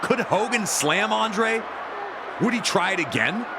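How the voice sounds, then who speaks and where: A man's voice: sits high, lively intonation, measured pace. A man narrating wrestling matches as a commentator, in a dramatic sports documentary style with an emphatic, suspenseful delivery. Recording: loud crowd and arena noise behind. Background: roaring wrestling crowd, ring slams.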